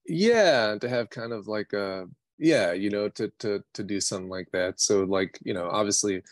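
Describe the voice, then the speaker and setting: A man's voice: fairly low pitch, normal speed, no echo, studio quality. An American man from New York City, a singer-songwriter chatting casually in an interview in a rambling, slangy, self-deprecating way. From New York City, United States.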